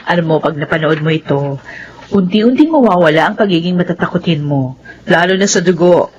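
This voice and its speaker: A woman's voice: lowish voice, lively intonation, brisk, a little mellow, husky, lo-fi sound. A woman playing a practical mother, obedient to her elders, in a Filipino radio drama. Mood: coaxing.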